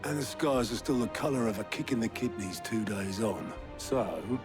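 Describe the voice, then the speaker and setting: A man playing a gruff, plain-spoken steward in a fantasy game, talking in a dry, colloquial, matter-of-fact way. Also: lowish voice, moderate pace, natural voice. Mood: wry. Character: gruff, wry, plain-spoken male steward and old ally. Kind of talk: video game cutscene acting. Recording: game dialogue over music.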